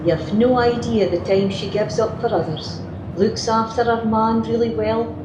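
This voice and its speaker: A woman's voice: on the high side, steady tone, measured pace, muffled recording, close to an everyday voice. Woman acting a grumbling Scottish wife, roomy recording. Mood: gossipy, self-satisfied. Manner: gossipy, complaining. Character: chatty, grumbling working-class Scottish wife, gossipy and work-shy. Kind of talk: radio play monologue. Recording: roomy, noisy remote home recording.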